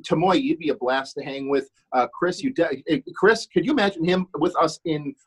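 A middle-aged man whose first language is German, talking as a podcast guest in a casual chat, with halting, hesitant phrasing. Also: a little high, slightly rough. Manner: hesitant, many restarts and fillers.

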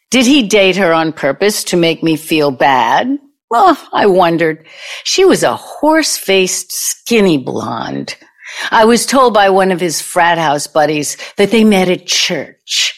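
A woman's voice: fairly low pitch, sing-song, takes it slowly, full character voice. A woman acting in an audio play as a brazen, needling older ex-girlfriend out for revenge, blunt and theatrical. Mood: brazen, reminiscing.

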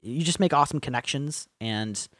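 A young man co-hosting a podcast, chatting casually, rambling, swearing freely and getting animated in bursts. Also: medium pitch, normal speed, no echo. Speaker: podcast co-host. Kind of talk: casual chat.

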